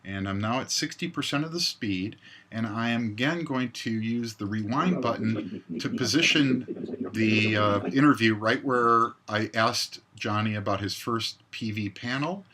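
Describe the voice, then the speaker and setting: Male software tutorial narrator, bright crisp edge, pitched a little low, instructional step-by-step delivery. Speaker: tutorial presenter. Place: indoors, screen recording voice-over.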